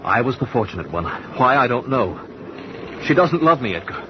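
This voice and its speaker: A haunted young painter, consumed by jealousy and stumbling over his words, voiced by a man in an old-time radio play. His voice is mid-pitched, and the old broadcast comes through muffled, with a steady hiss. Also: lightly acted. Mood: brooding. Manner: halting, stammering, swings to shouting. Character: tormented, jealous young male artist. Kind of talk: 1940s radio acting. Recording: hissy lo-fi old radio recording.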